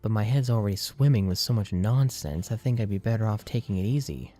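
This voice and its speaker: A man's voice: lowish voice, animated, fairly quick, warm tone, pitched above the performer's own voice, natural voice. A man voicing an exasperated young male student, defensive and sarcastic as he protests.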